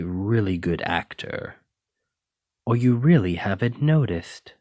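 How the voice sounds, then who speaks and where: Man playing a low-voiced, flirty dragon-blooded librarian. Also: darkish tone, breathy edge, dry recording, studio quality, exaggerated voice. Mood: fond, exasperated flirting. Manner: intimate, teasing, uses pet names. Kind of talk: ASMR romantic roleplay.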